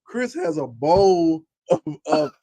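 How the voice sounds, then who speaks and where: Male guest, 30s. Slightly high pitch, informal animated storyteller, dry acoustic. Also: slow pace, no room sound. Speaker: former football player, guest. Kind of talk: casual anecdote telling.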